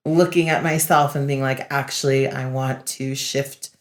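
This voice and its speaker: A young woman co-hosting a podcast, speaking in an earnest, reflective way with many fillers. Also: low voice. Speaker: podcast co-host, facilitator. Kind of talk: casual podcast chat.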